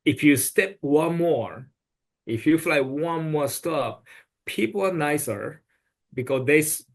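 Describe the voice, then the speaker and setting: A man's voice: fairly high pitch, lively intonation, slow. Man giving earnest advice. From Japan. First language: Japanese. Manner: earnest, advice-giving, with non-native phrasing. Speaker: guest. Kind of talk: podcast conversation.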